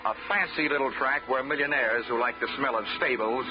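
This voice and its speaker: An actor playing a wisecracking insurance investigator in a 1940s radio drama, narrating and talking with a dry, wry manner. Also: male voice, medium pitch, a little fast, natural voice. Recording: muffled old radio broadcast with music.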